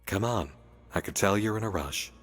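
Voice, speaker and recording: An actor playing a sly, playful demon in a visual novel, teasing, cryptic and theatrical. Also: male voice, fairly low pitch, moderate pace, fairly bright tone. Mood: cryptic, calm. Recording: long reverb, music behind.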